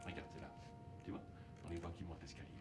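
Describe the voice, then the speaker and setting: A man's voice: deep voice, little pitch movement, racing, natural voice. An actor playing a father, talking tenderly and playfully to his small child. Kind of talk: film drama dub. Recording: film soundtrack with loud music.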